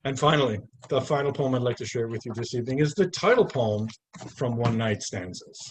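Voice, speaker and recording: A man reading his poetry aloud over a video call, in a deliberate, theatrical way with measured pauses. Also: mid-range voice, expressive, a little hiss or hum. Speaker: poet reading own work. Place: live online video call. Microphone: video call microphone.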